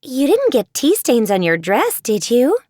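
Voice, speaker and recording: A woman voicing a bubbly, eager teenage girl who speaks briskly and cheerfully. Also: fairly high pitch, huge pitch swings, warm tone, no echo, studio quality, voice pushed up, heavily acted. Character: bubbly helpful teen girl, eager and friendly.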